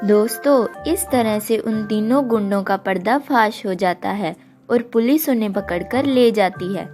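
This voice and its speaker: A woman's voice: medium pitch, unhurried, darkish tone. A woman narrating a children's story, addressing her listeners directly. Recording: music behind the voice. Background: music.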